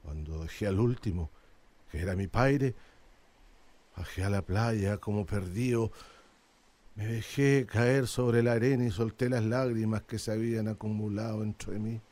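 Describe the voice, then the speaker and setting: An actor as a mellow, grieving old man. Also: fairly low pitch, moderate pace, lightly acted. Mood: sorrowful, haunted. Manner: laconic, almost absent telling. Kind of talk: radio drama acting.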